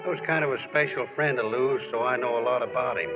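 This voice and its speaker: An actor playing a plain-spoken, friendly sportswriter, narrating in a conversational, reminiscing way in a 1940s radio drama. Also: male voice, medium pitch, fast, lightly acted. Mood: reminiscing, fond. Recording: dull old radio recording, music behind.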